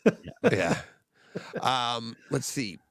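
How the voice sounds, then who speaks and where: A man hosting a podcast, rambling in an animated way and laughing easily. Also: medium pitch, extremely slow, no room sound. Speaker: podcast host. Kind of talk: casual chat.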